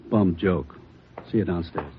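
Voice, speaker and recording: Casting: wry, practical bomb technician, matter-of-fact, tinny radio recording with faint noise. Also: male voice, low-pitched, unhurried. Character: practical, wry male bomb squad man. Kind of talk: old-time radio drama acting.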